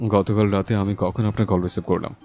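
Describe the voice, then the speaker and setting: A man's voice: on the low side, level tone, a little fast, darkish tone, natural voice. A man playing a passionate, protective young husband in a dramatised romantic audio story, speaking with emphatic feeling. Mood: furious, scolding. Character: hot-tempered young husband, fiercely protective, tender with his wife. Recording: voice over a music bed.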